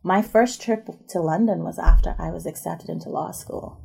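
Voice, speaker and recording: A woman's voice: fairly low pitch, normal speed, vocal fry, warm tone, husky. A woman in her thirties from the Bahamas, a podcast guest explaining her background in an articulate, upbeat way. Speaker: podcast guest, MBA student and barrister. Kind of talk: conversational interview.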